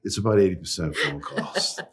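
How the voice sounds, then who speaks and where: A man's voice: low-pitched, moderate pace, a bit bright, slight background noise. A middle-aged man with a Boston accent, a guest telling his story in a chatty interview, full of asides. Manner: chatty, tells stories with quoted dialogue. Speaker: guest, company phone coach.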